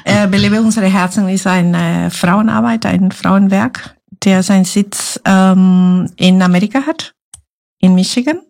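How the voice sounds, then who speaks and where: Woman, earnest podcast guest, mid pitch. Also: unhurried, gravelly, a little mellow. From Guatemala. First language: Spanish. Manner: earnest, searching for German words. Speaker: ministry guest. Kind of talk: podcast interview.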